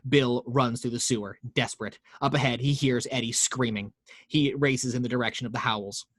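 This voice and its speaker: Male narrator, mid pitch, steady read with small slips, dry. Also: normal speed, dry recording. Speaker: narrator reading action lines.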